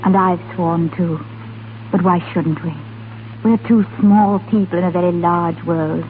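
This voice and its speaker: A woman's voice: on the low side, steady tone, a little slow, close to an everyday voice. An actress playing a passionate, pleading English lady in love, in earnest, theatrical 1930s radio drama style. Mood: anxious. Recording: tinny, noisy 1930s radio recording.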